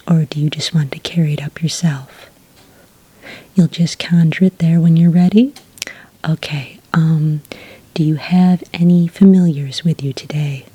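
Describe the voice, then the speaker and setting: A woman playing a friendly, obliging witch desk clerk in a soft-spoken ASMR roleplay, whispering breathily in polite, hesitant customer-service patter. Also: sits low, steady tone, measured pace, slightly rough, no room sound, heavily acted, poor audio. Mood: calmly helpful.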